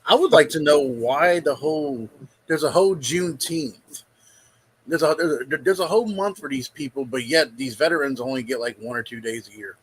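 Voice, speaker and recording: A man, a guest on a chat stream, arguing his point slowly and emphatically. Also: fairly high pitch, breathy edge, low-quality recording.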